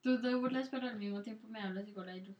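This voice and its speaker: A woman's voice: a little high, quick pace, a little mellow, highs cut off. A Colombian woman with a Colombian Spanish accent, co-hosting a casual podcast and explaining things at length with many fillers. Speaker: podcast co-host. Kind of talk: casual conversational interview.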